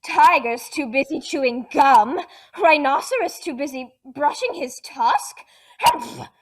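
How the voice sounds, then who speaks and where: A grumbling storybook boar , as a woman puts him on, suspicious of everyone and snorting between his words. He mutters slowly to himself in a high, huffy voice. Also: lively intonation. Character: grumpy, suspicious male boar.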